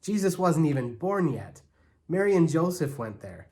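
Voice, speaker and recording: A man's voice: on the high side, big pitch swings, normal speed, mellow. A man voicing an indignant, know-it-all young girl in a storybook, exasperated and scornful.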